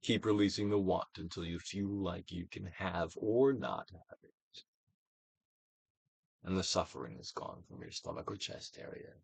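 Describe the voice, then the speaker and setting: A man giving a dramatic, theatrical reading of a self-help book, emphatic, with swells and hushed drops. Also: low voice, a little slow, gravelly, long pauses, slightly rough, no room sound, poor audio. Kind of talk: theatrical dramatic reading of scripted instructional text.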